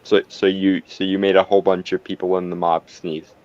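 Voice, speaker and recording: A man's voice: low-pitched, little pitch movement, fairly quick, a little mellow, lo-fi sound. A man playing a tabletop game, casually explaining and reasoning out the logic of what happens. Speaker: player.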